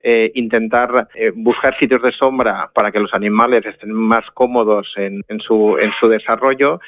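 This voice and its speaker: A man's voice: on the low side, fairly even intonation, normal speed, no room sound. A man explaining things as an interview guest over the phone. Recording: thin, narrow phone line.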